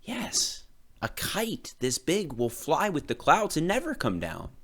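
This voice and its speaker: A man's voice: fairly high pitch, huge pitch swings, measured pace, a bit bright, voice pushed up, heavily acted. A man voicing an earnest, dreamy builder in a storybook read-aloud, speaking with wonder. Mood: hopeful. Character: Yoshi, earnest, dreamy male boat and kite builder.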